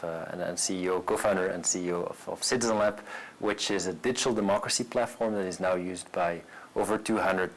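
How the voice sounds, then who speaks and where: A man in his late twenties speaks English with a Belgian accent in a somewhat low voice. He talks as an entrepreneur being interviewed, earnest and a little halting, doubling back on words as he explains himself. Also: low-quality recording. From Brussels, Belgium. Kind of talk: personal profile interview to camera.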